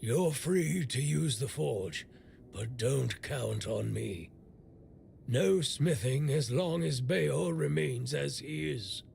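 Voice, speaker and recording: An actor playing a bitter, blunt, middle-aged blacksmith in a video game, grumbling and weary with worry. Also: male voice, medium pitch, lively intonation, takes it slowly, creaky, a little mellow, a little hiss or hum, full character voice. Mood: gruff, scornful. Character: gruff, bitter male blacksmith, worried for a stricken young man.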